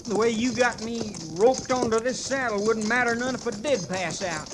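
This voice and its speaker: An actor playing a stubborn, rough-hewn trooper in an old-time radio western, with folksy, ungrammatical talk. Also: male voice, extremely high pitch, moderate pace. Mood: pained, weary. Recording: noisy, horses and outdoor sound effects behind.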